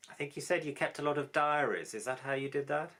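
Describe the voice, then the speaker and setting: A man's voice: on the high side, quick pace, fairly bright tone. A man who works as an editor and translator, talking reflectively and fluently in a conversation about a memoir. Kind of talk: conversational interview.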